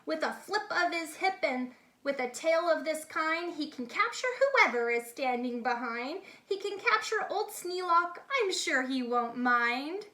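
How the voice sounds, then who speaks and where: A woman's voice: high voice, lively intonation, a little slow, sharp tone, heavily acted. A woman voicing a boastful, eager young boy who plays circus showman in a rhyming storybook, with grandiose singsong delivery. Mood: proud, showy. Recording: echoey, reverberant room sound.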